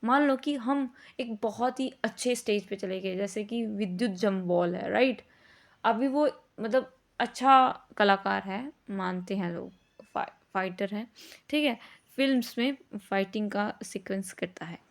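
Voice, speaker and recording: A woman's voice: mid-range voice, unhurried, vocal fry. A woman explains a lesson in an informal, conversational way, mixing in English words and often checking understanding with short tag questions. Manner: mixes English words into speech. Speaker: teacher.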